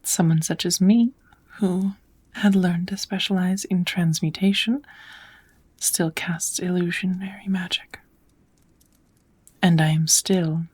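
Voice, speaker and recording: A woman voicing a kind, knowledgeable witch who gently teaches magic in a measured, whispered ASMR roleplay. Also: fairly low pitch. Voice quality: soft, breathy whispering.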